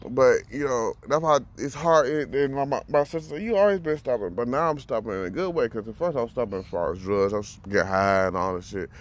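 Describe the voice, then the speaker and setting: A man's voice: medium pitch, animated, a little fast, hardly any pauses. A man in his thirties talking bluntly and profanely in a casual monologue, often repeating words as he gathers his thoughts. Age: 30s. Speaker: vlogger.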